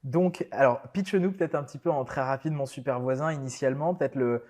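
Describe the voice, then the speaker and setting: A man's voice: medium pitch, fairly quick, darkish tone. A man hosting a tech interview, asking friendly, informal questions and dropping short affirmations. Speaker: interview host.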